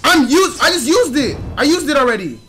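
A man's voice: very high-pitched, very animated, brisk, sharp tone. A young man chatting casually over a game, exasperated and swearing heavily. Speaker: gaming video creator. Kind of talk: casual gaming voice chat. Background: background music.

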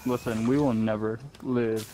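A man's voice: fairly low pitch, flat delivery, moderate pace, dark tone, a little hiss or hum. A man talking casually and in slang with friends while gaming. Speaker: gamer in squad voice chat.

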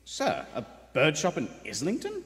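A man's voice: a little high, huge pitch swings, moderate pace, a bit bright, heavily acted. A man playing a deferential, earnest detective reporting to his superior in a live radio play. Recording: echoey theater hall.

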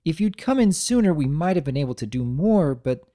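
A man voicing a professional female nurse pony, gentle and formal, in a dramatic reading of a cartoon story. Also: a little high, sing-song, fairly quick, pitched above the performer's own voice. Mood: grave.

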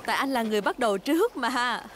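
A woman voicing a calm, caring mother pig in a cartoon dub, gentle and encouraging. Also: a little high, fast talker, very noisy, natural voice. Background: loud cartoon music.

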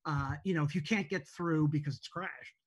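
A man making casual, off-the-cuff remarks on a video call in a hesitant, halting manner. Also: fairly high pitch, a crowd in the background. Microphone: computer video call.

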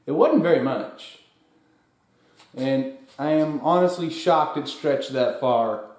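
A man's voice: medium pitch, takes it slowly, darkish tone, reverberant. A young man casually talking through tests in his garage workshop, thinking aloud as he works, recorded by a camera across the room. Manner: plain, thinking aloud while working. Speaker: DIY tester. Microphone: far camera.

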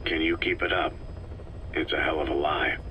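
Very deep, gruff soldier. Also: male voice, fairly even intonation, moderate pace, sharp tone, a little hiss or hum. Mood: sober, skeptical. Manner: terse, low-key, wry. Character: terse, gruff, war-weary male mercenary commander. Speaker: video game character.

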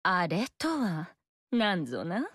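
A scheming, seductive fox spirit in a video game, coy and languid. She speaks slowly, her pitch rising and falling in a lilting way. The studio sound is dry and close. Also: very animated, fairly bright tone, slightly rough, no echo, exaggerated voice.

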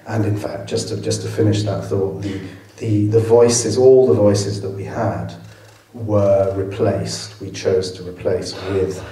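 Man, film director, low voice, dark tone, audience Q&A, echoey hall, distant mic. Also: steady tone, measured pace, hardly any pauses, slight background noise. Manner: thoughtful, with frequent restarts. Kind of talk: post-screening Q&A answers. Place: cinema auditorium.

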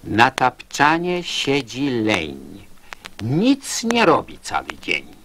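A man narrating children's verse in an expressive recitation style. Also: medium pitch, extremely slow, a little hiss or hum.

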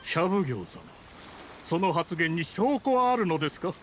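A haughty schemer of an official in an anime game. He speaks formally but bristles easily, his slightly high voice swinging widely in pitch. There is light scene ambience behind him. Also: lively intonation, moderate pace, fairly bright tone. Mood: indignant. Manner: indignant, formal, pompous. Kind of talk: Japanese anime game voice acting. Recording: game dialogue over ambient scene noise.

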